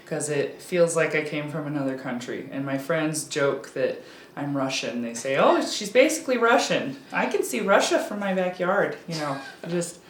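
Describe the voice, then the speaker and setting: A woman's voice: sits low, expressive, moderate pace, few pauses, a little hiss or hum. A woman in her thirties from Alaska, a guest in a casual interview, talking candidly with frequent ums and restarts. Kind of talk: casual conversation. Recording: roomy echo, faint background ambience.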